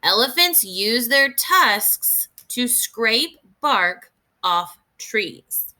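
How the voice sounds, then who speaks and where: Bright, slow, animated woman teacher reading aloud. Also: a little high. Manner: deliberate, expressive, clearly phrased for young learners. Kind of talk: instructional read-aloud of a children's nonfiction book with think-aloud comments.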